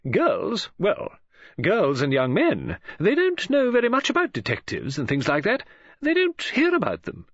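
A male narrator plays a fussy, imaginative middle-aged woman in an audiobook. The voice leaps high and swings wildly up and down as it gushes and exclaims. The recording is a little dull. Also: huge pitch swings, unhurried, a bit bright, dry recording, exaggerated voice. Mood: chatty, gushing. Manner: gushing, exclamatory, swooping emphasis.

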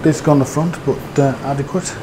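A man's voice: fairly high pitch, fairly even intonation, moderate pace, noisy background. A man giving a casual, rambling motorbike review, with fillers and hesitations. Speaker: motovlogger.

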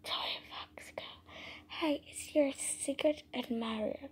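A woman narrating video game role-play and reading aloud haltingly, often dropping into a whisper. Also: high-pitched. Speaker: gaming video maker.

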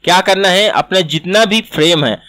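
A man with a slightly high voice narrates a software tutorial. He explains step by step, repeating each instruction as he goes. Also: moderate pace. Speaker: animation tutor. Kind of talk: screen-recorded software tutorial.

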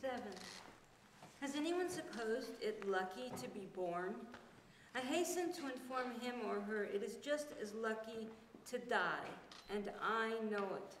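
A woman recites verse in a slightly high voice. She sounds some way from the microphone, in a very echoey room. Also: lively intonation, a little slow. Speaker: poet reading at a public reading. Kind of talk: poetry recitation. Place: indoors in a church hall. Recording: distant, echoey hall, faint noise.

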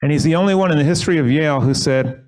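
A man serving as rabbi, leading a synagogue service and telling a short story in an earnest, measured way, speaking at a lectern microphone in a sanctuary. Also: a little high, a little mellow.

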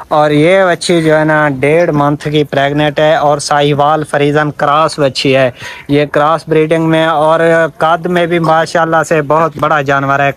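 Young guy w doing a farm sales vlog, flat delivery, some noise outside. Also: fairly high pitch. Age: 20s. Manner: repetitive verbal filler phrases. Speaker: cattle seller and video presenter. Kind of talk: sales vlog presentation to camera. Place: open-air farm yard. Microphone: phone at arm's length. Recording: noisy outdoor phone recording.

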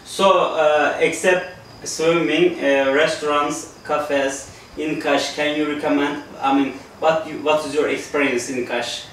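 A middle-aged Turkish man with a Turkish accent, hosting a casual interview in halting English with many fillers. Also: a little high, fairly even intonation, fairly bright tone, slight background noise. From Turkey. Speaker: host, guesthouse owner. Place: living room of a guesthouse, indoors. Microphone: far camera. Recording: echoey room from far off.

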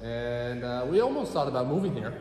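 A man's voice: medium pitch, sing-song, fairly quick, a little mellow. A young man chatting casually for a travel vlog on a handheld phone, mostly outdoors in town. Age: 20s or 30s. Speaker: vlogger. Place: mostly outdoors on city streets. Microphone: handheld phone at arm's length. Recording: noisy street ambience on handheld phone.

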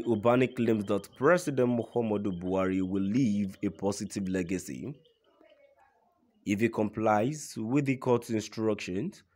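A man's voice: fairly low pitch, darkish tone. A man narrating a scripted news report in a formal read-aloud manner.

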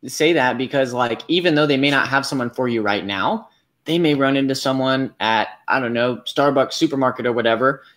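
A young man hosts a live business chat over a webcam from a small, dim room. His voice sits at an ordinary middle pitch and he talks loosely, leaning on "like" and "um" as he works through his points. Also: brisk. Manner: relaxed, many fillers. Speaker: business coach host. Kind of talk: live video call interview. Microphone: webcam on video call.

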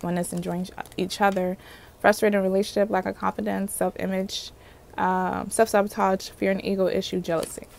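A woman with a Haitian accent reads tarot in a casual, chatty way, checking in with her viewers again and again. Her voice has a gravelly edge at a middle pitch. Faint hiss sits under the recording. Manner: chatty, repeats "okay?" and "universe, clarify" while pulling cards. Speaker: tarot reader. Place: indoors at a table covered in card decks.

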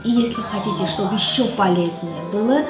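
A woman in her thirties, a native Armenian speaker, hosting a casual cooking demonstration in her home kitchen with a chatty, playful manner. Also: medium pitch. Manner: chatty, playful, slow and unhurried. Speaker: cooking show host. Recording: echoey kitchen with loud music bed.

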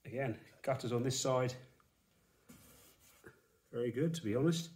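A man's voice: medium pitch, measured pace, darkish tone. Man, forties, from England, dry and matter-of-fact, talking over a handheld camera in a garage. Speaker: car restorer, channel host. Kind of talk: walkaround commentary while filming. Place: indoor garage workshop.

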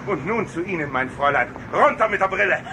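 A man's voice: high voice, expressive, fast talker. An actor playing an indignant, commanding middle-aged father and director in a 1960s German radio play. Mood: indignant, alarmed. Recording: noisy old radio play with traffic ambience.

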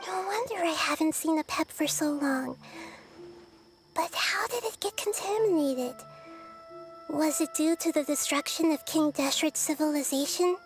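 A woman voicing a small, childlike girl deity in a video game, thoughtful and deliberate, wise beyond her looks. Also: very high voice.

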